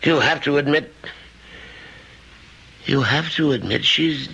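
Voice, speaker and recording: Slow, raspy, nervous butler. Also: male voice, on the high side, pushed and bright, muffled recording, exaggerated voice. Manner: hesitant, faltering. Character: timid, deferential household butler, troubled by his master. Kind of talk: old-time radio drama acting.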